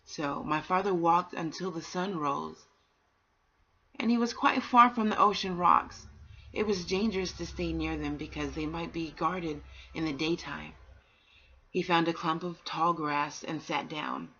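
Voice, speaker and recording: A dull, lo-fi home recording of a woman reading a children's bedtime story aloud. Her voice sits a little low and is husky. She takes her time, breaking the narration into short, measured phrases. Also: a bit bright. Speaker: amateur bedtime story reader.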